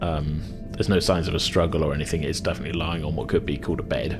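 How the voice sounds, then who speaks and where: A man improvising as game master in a tabletop game, explaining things in a halting, chatty way. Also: extremely low pitch, steady tone, gravelly. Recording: music playing behind the voice. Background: background music.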